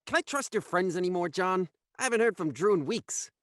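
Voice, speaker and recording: A man's voice: high voice, lively intonation, fairly quick. A man playing a suspicious, hostile underground dealer in an audio thriller, curt and agitated. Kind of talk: audio drama acting, present-day thriller.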